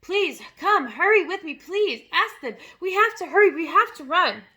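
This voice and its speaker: A woman voicing a clever, excitable young girl who cries out in breathless pleas. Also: sits high, fairly quick, voice pushed up. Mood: frantic.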